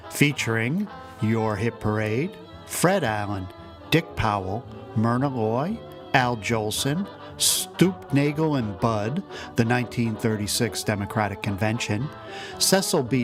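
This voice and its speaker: A man hosting a podcast, reading a scripted introduction as narration. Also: mid-range voice. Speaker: podcast host. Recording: voice over clear music.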